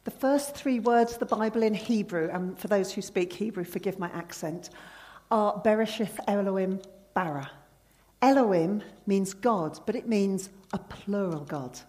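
A woman preaching a church sermon in an earnest, emphatic way. She leans on key words and slows down for scripture and hymn lines. Her voice sits at an ordinary pitch for a woman. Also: darkish tone.

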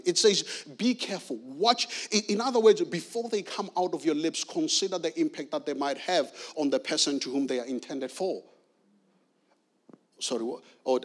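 A middle-aged man from South Africa preaching a sermon with emphatic, repeated key phrases. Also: on the high side.

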